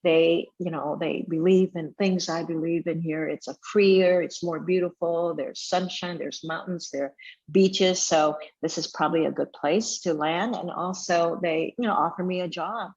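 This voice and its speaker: A woman's voice: on the low side, fairly even intonation, a little mellow, slightly rough. Reflective woman guest, lo-fi sound. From Vietnam. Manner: thoughtful, many pauses and fillers. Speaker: guest chef and restaurant owner. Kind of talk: casual interview conversation.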